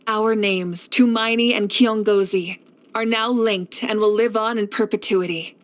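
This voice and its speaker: A woman's voice: mid-range voice, steady tone, lightly acted. A woman playing a composed, assured fire leader. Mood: earnest, assured. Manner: assured, measured, encouraging. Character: confident, composed, kindly fire archon war leader. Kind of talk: scripted video game character voice lines.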